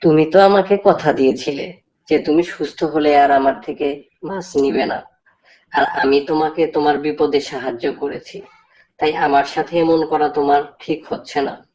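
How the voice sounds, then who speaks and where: Woman playing a cartoon fisherman, very low pitch, kindhearted, earnest, plain-spoken, slightly dull audio. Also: steady tone, normal speed, darkish tone, natural voice. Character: kindhearted, hardworking male fisherman. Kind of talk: cartoon character dialogue.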